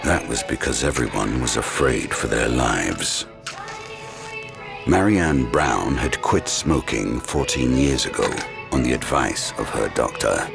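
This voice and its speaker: Ominous storyteller: rock-bottom bass, gravelly, measured and brooding, scored with music. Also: male voice, lively intonation, heavily acted. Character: grave, ominous male storyteller of horror tale. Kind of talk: scripted dramatic narration.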